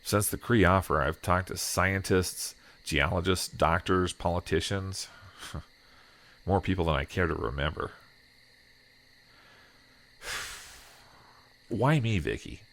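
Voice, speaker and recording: A man's voice: low voice, slow, creaky, long pauses, fairly bright tone, heavily acted. A man playing an earnest, self-doubting husband in an audio drama, with a hesitant, weary way of talking. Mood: troubled. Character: earnest, self-doubting husband burdened with a world-changing decision. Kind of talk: audio drama acting with first-person narration. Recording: noticeable background noise.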